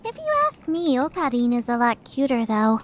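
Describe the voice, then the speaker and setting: A woman's voice: fairly high pitch, big pitch swings, normal speed. A woman playing a sweet, childlike teenage girl in an anime fan dub, with a cutesy, playful manner. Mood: gently teasing.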